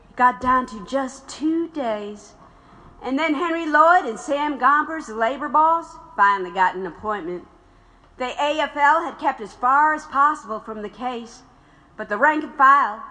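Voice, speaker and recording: An actress playing a grieving, defiant wife of a condemned radical, narrating with bitter reflection. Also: female voice, sits high, bright, forceful tone, heavily acted, poor audio. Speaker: narrator and widow of a condemned man. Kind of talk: audio drama narration and acting.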